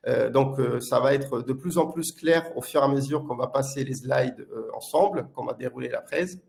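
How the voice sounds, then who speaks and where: A man from Italy speaking with an Italian accent, presenting a live webinar as a product manager in a halting delivery full of filler sounds. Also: medium pitch, gravelly, darkish tone.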